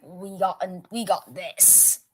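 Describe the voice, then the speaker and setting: A woman's voice: fairly low pitch, slow. A woman guest chatting casually on a video call, teasing and quizzing the others.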